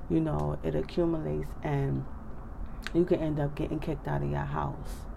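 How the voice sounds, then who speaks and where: An American woman, slightly hoarse, giving casual motivational advice in an emphatic, conversational way with repeated check-in phrases. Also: deep voice, mellow, a little hiss or hum. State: slightly hoarse, clearing throat. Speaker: advice speaker.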